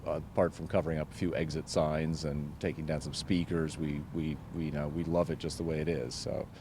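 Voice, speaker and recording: A man giving a casual, impromptu interview, speaking in a halting, rambling way as a production designer. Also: extremely low pitch, flat delivery, background noise. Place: outdoors outside a town hall.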